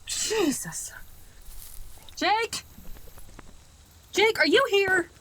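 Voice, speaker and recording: Actress as a high, bright, exasperated young woman. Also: expressive, drawn-out words, heavily acted. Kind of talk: audio drama acting.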